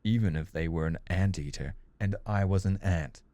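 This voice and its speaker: A man's voice: sits low, normal speed, mellow, no echo, lightly acted. A man playing a condescending, melodramatic yandere rival sibling in a comedic audio roleplay, with pompous, theatrical delivery. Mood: scornful, devoted.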